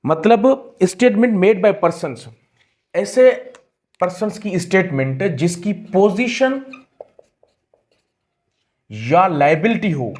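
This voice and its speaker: Slow-paced man lecturing, fairly high voice. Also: lively intonation, a little mellow. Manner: code-switches between Hindi and English; deliberate, repeats phrases while explaining. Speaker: law lecturer. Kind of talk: explanatory lecture with examples, reading statutes aloud.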